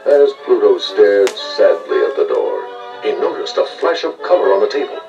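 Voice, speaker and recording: A man reading scripted children's read-along narration with expressive storytelling delivery. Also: fairly low pitch, normal speed, gravelly, distant mic, highs cut off, over music.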